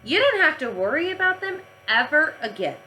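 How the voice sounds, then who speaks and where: A woman voicing a teasing male yard teacher who haggles briskly in a children's storybook. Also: sits high, sing-song, sharp tone, slight background noise, voice pushed up, full character voice, low-quality recording. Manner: brisk, wheedling bargainer.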